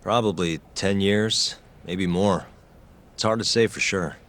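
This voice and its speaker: An actor playing an earnest, determined young lawyer in a video game. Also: male voice, deep voice, measured pace, fairly bright tone.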